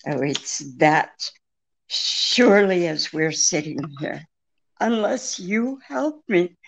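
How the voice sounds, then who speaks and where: A woman playing a frightened, evasive femme fatale in a 1940s-style radio detective drama, speaking haltingly. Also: fairly low pitch, expressive, gravelly, heavily acted. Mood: pleading. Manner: halting, hesitant.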